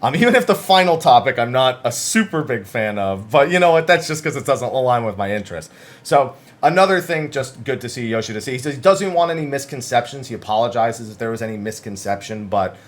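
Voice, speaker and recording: A man giving casual, conversational commentary as the host of a gaming news channel, summarizing interviews with emphasis. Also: medium pitch.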